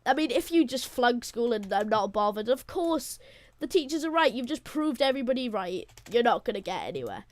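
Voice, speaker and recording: Welsh teen girl co-host, native Welsh speaker, chatty and rambling, slightly high voice, dry close sound. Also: sing-song, a little fast, no room sound. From Wales. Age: teens. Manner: rambling, giggly, talks to viewers as "guys". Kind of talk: casual chat.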